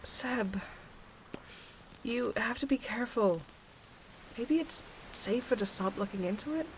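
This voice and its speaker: Concerned friend played by a woman, gentle, naturalistic audio-drama delivery, slightly high voice, clear ambient noise around her. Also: expressive, measured pace, poor audio. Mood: cautioning. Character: worried, caring female friend and colleague.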